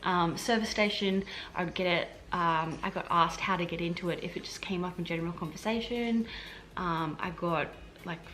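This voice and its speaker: A woman's voice: lowish voice, normal speed, a bit bright, slight background noise, low-quality recording. An Australian woman, a hospital admin officer, chatting casually to camera in a rambling way with lots of fillers and restarts. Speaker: health administration officer and vlogger. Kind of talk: casual vlog explaining to camera.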